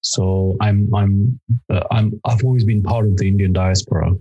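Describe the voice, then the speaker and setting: A very deep, husky male voice from a middle-aged academic raised in Kenya. He answers at a measured pace, often pausing and restarting as he works out his thoughts. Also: fairly even intonation, mellow, lo-fi sound. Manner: hesitant, many restarts and fillers. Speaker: law academic, interview guest.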